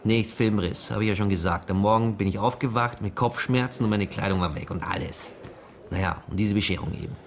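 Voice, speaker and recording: A man's voice: on the low side, a little fast, gravelly, slight background noise. A man playing a pompous, embarrassed police chief in a radio crime comedy, speaking formally and evasively. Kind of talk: German radio play dialogue.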